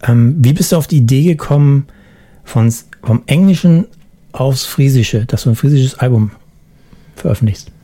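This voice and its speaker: A male interviewer with a very dark, mellow voice in a middle register. He asks his questions calmly and fills the pauses with brief murmurs of agreement. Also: mid-range voice, breathy edge.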